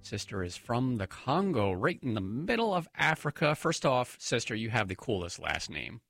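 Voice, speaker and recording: A man's voice: medium pitch, big pitch swings, moderate pace, vocal fry, a bit bright, soft background music. A man hosting an interview show, introducing and questioning a guest. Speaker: radio show host and deacon.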